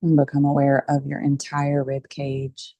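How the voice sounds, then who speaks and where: Slow, low-voiced woman guiding meditation. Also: vocal fry, no echo. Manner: calm, soothing, unhurried with long pauses. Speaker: meditation teacher. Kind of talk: guided meditation.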